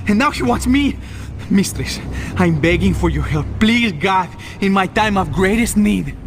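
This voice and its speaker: An actor playing a jittery, volatile drug boss in a video game, with a Spanish accent. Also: male voice, high voice, animated, measured pace, noisy background. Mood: desperate pleading. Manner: agitated, pleading, swings between threats and grovelling. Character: jittery, grovelling male gangland drug boss with violent temper. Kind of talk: video game cutscene acting. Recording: loud music behind.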